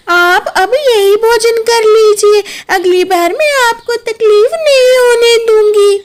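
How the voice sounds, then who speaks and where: Woman as a meek, very high-pitched young bird wife. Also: slight background noise. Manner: polite, deferential, plaintive. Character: meek, dutiful young daughter-in-law bird.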